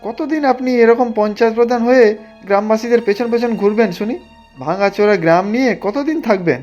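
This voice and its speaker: A man's voice: sits high, quick pace, darkish tone, dull audio, soft background music, lightly acted. A man voicing an ambitious, greedy village official in a cartoon, talking in a persuasive, insistent way. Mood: impatient. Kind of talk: Bengali cartoon dialogue.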